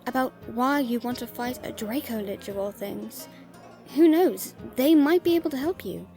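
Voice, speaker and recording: A woman playing a friendly female merchant in a fantasy audio drama, gently teasing, caring and encouraging as she talks to the listener. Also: fairly high pitch, animated, normal speed, faint music behind. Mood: caring, earnest.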